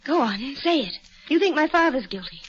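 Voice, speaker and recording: A woman's voice: sits high, lively intonation, moderate pace. An actress playing a distressed, determined young woman in a 1940s radio drama, earnest, pleading and insistent. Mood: urgent. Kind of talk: 1940s radio melodrama acting. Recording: muffled vintage 1940s radio recording.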